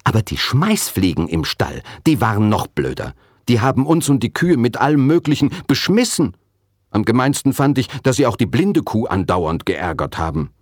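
Male reader as schoolgirl, cheeky, earnest, excitable, raised pitch, slightly husky, children's storybook. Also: moderate pace, dry recording, studio quality. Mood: eager telling. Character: schoolgirl telling tall tales, earnest and cheeky.

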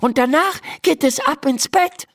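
Woman voicing a very high, excitable young girl. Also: unhurried. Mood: bossy, pleased. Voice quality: high, youthful. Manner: exclamatory, emphatic. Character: Laura, a young girl, alarmed then bossy in her dream.

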